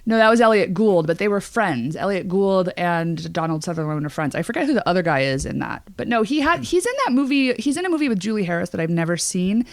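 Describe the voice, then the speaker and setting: A woman's voice: lowish voice, lively intonation, quick pace, hardly any pauses, no echo. An American woman co-hosting a podcast, talking casually in an emphatic, opinionated and slangy way. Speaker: podcast co-host. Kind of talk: casual pop-culture chat.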